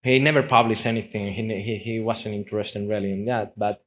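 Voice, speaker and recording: A man's voice: lowish voice, fairly even intonation, fairly quick, fairly bright tone. A man from Spain and Uruguay with a Spanish accent, a native Spanish speaker, giving an informal slideshow talk as a documentary photographer, often repeating words and restarting his sentences. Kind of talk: informal slideshow presentation to an audience.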